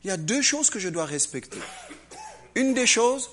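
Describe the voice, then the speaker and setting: Animated high-voiced male preacher. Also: moderate pace, a little hiss or hum. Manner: acts out voices, swings between loud and hushed. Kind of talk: animated sermon.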